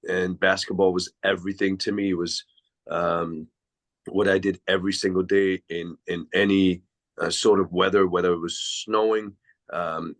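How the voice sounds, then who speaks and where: Deep-voiced Swiss man telling his story. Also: fairly bright tone. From Switzerland. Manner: reflective, long winding answers. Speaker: podcast guest, DJ. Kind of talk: casual interview storytelling.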